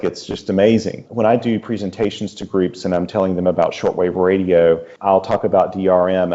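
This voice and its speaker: Low-voiced, mellow man, enthusiastic explainer. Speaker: podcast guest, radio enthusiast. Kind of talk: casual interview talk. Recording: slightly dull, lo-fi audio.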